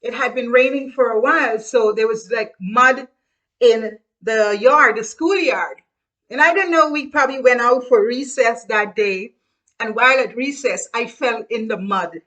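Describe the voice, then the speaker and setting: Bright-voiced Guyanese woman reminiscing. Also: fairly high pitch, measured pace, poor audio. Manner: chatty, laughs while reminiscing, repeats phrases. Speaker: host. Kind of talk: casual storytelling monologue.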